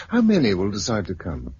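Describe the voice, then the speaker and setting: An actor playing a wry, doubtful British survivor in intimate 1950s radio drama dialogue. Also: male voice, lowish voice, wildly swooping pitch, moderate pace, a little mellow. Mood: gently teasing. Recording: muffled, noisy 1950s radio recording.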